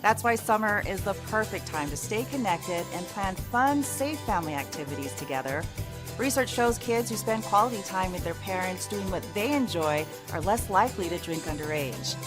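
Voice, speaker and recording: Music plays clearly behind a woman announcer. She reads a scripted public service message at a middle pitch, in a polished, instructive manner. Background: music bed.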